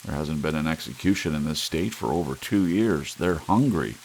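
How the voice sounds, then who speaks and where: Man acting a gravelly, irritable, weary husband. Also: very deep voice, moderate pace, exaggerated voice. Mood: resigned, bitter. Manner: blunt, exasperated. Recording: steady hiss, rain sound underneath.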